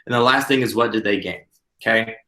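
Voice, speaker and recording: A man's voice: lowish voice, a little fast, sharp tone. A man in his thirties, a business coach, leading a workshop in an earnest, encouraging, conversational way. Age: 30s. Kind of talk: instructional workshop talk. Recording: roomy echo.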